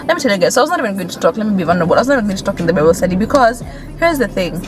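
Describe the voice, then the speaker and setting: A woman's voice: medium pitch, very animated, very fast, music in the background. A young woman with a Kenyan accent talking casually and candidly as she drives, with many fillers. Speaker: vlogger. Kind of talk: casual chat while driving. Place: in a car on city streets. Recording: loud road noise in a car.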